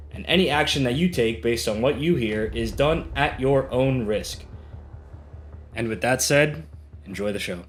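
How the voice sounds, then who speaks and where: A man hosting a podcast, presenting and asking questions in a conversational way. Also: mid-range voice. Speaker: podcast host. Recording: roomy, echoing sound.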